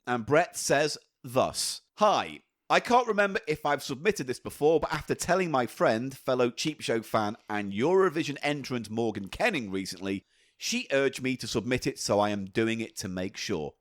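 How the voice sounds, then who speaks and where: Playful British podcast host with lively pitch. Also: male voice. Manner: playful, quick to riff and tease; reads listener letters aloud. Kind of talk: casual comedy chat.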